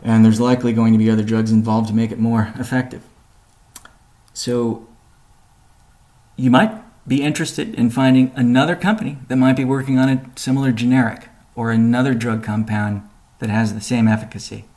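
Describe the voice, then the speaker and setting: A man presenting a company update on a conference call, explaining in a measured way with deliberate pauses. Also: mid-range voice, steady tone, mellow.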